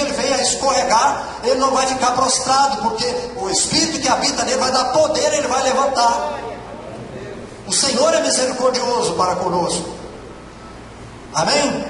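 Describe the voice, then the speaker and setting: A man, preaching an earnest sermon and repeating his key phrases to exhort the congregation. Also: extremely high pitch. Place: large church hall. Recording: echoey church hall from far off, noisy.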